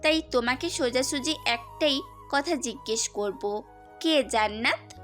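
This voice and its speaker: Actress as young woman, high voice, direct and questioning, light music behind. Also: unhurried, a bit bright. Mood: probing. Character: insistent, probing young woman questioning a student. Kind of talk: Bengali audio story acting.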